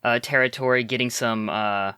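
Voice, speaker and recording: Player voice, man, bright and crisp tone, halting delivery, dry close mic. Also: medium pitch, steady tone, no room sound. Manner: hesitant, many fillers. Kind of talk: actual play character talk.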